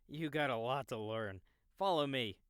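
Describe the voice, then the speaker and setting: A man's voice: mid-range voice, clear, resonant tone, dry recording, studio quality, lightly acted. A man playing an easygoing young experienced game player who explains the world to a newbie in a casual, knowing way, in an audiobook. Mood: casual, amused. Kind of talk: audiobook character acting, explanatory dialogue.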